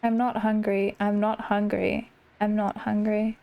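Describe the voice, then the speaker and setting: A woman reading as an overwhelmed, withdrawn heroine of a game, subdued and halting. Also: medium pitch, level tone, creaky, dull audio. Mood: sad, withdrawn.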